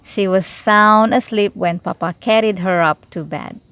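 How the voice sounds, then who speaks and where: A woman reading a children's storybook aloud in an expressive, unhurried way, with little asides to the listener. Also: medium pitch, smooth, dry recording. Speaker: storybook reader.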